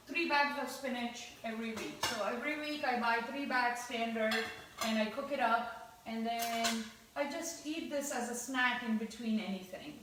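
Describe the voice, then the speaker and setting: A woman from India hosting a live home cooking demonstration, chatting through each step as she stirs. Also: on the high side. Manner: chatty, explaining each step while stirring. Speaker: cooking show host. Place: home kitchen. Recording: echoey kitchen from far off.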